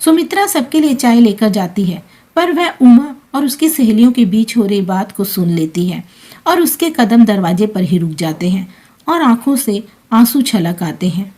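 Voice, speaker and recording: A woman's voice: mid-range voice, expressive, normal speed, dark tone. A woman reading a scripted emotional family story as its narrator.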